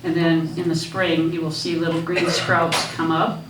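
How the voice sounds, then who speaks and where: Soft-voiced woman giving a talk, lots of ums, distant mic, echoey room w/ noise. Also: low voice, level tone. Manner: soft-spoken, halting with many fillers. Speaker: guest speaker. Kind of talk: casual talk to a women's lunch group. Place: echoey hall with audience.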